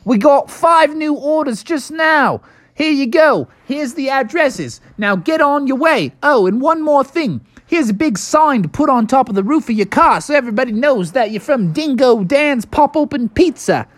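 A man voicing a wild, bossy dingo who owns a pizza parlor, with an Australian accent and an excitable, hearty manner. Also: very high-pitched, normal speed, dry recording, voice pushed up. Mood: brisk, bossy. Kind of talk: children's story character voice.

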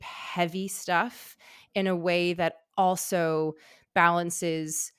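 A woman's voice: on the low side, clear, resonant tone, no echo, studio quality. A woman hosting a conversational podcast interview, effusive and emphatic in the way she talks. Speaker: podcast host.

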